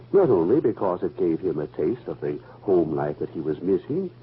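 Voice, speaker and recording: Solemn old-time radio family man telling stories, low swooping voice, muffled noisy recording. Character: reflective family man fondly recalling a radio show's history. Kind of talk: 1940s radio acting.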